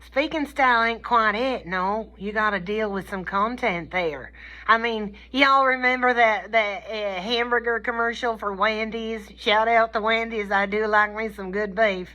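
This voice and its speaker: A woman's voice: on the high side, measured pace, bright, forceful tone. A woman giving an informal, folksy comedic lecture, playful and colloquial, often checking in with her listeners. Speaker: lecturer teaching a communication class.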